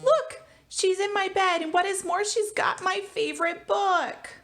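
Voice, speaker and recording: A woman's voice: extremely high pitch, steady tone, unhurried, a bit bright, faint music behind, voice pushed up, heavily acted. A woman voicing an indignant little baby bear from a storybook, in an exclaiming manner.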